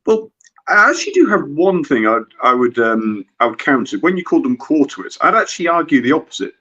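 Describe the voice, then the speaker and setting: A man on a sports panel making a measured, reasoned argument in conversational speech. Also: on the high side, sing-song, sharp tone. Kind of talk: sports discussion. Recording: dull, lo-fi connection.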